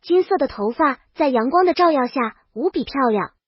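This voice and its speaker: A woman's voice: high-pitched, animated, moderate pace, dry recording, lo-fi sound. A woman narrating a comedic story in the first person, in storybook style. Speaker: audiobook narrator.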